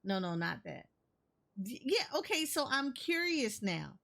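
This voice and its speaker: A woman's voice: fairly high pitch, big pitch swings, measured pace, creaky, a bit bright. A woman giving casual game reaction commentary, thinking aloud and often repeating herself. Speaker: gaming streamer. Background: game music.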